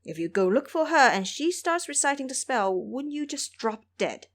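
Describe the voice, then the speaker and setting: A woman plays a stern monk master in an audio storybook tale. He is cautious and admonishing, putting measured questions to his disciple. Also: sits high, big pitch swings, fairly bright tone, pitched above the performer's own voice, exaggerated voice. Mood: reasoning.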